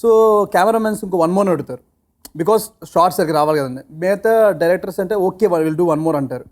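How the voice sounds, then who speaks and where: A man's voice: high voice, a little fast, a little mellow. A man talking casually in an interview, mixing English phrases into his speech. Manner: code-switches into English phrases. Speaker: film team member, interviewee. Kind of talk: casual interview talk about filmmaking.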